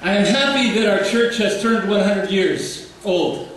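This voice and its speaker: High-voiced preacher in echoey church. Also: male voice, unhurried, a bit bright, a little hiss or hum. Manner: playful, speaks in short phrases for translation. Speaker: guest preacher. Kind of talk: sermon with interpreter. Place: church sanctuary. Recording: echoey church hall from far off.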